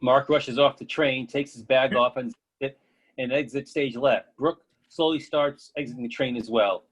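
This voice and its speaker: A man's voice: medium pitch, moderate pace, slightly rough. A man reading stage directions as scripted narration for a play.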